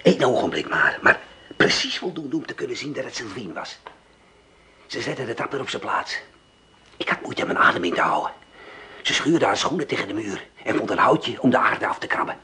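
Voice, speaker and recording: An actor playing an excited, vengeful resistance lookout in a radio drama, reporting in a hushed, breathy way on a noisy recording. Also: male voice, medium pitch, moderate pace. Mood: hushed excitement.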